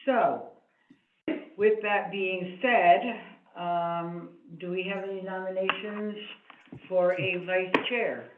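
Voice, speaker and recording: A soft-spoken woman chairing a town committee meeting, hesitant and full of fillers, in a meeting room. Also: mid-range voice, steady tone, slow pace. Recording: echoey room over a tinny line.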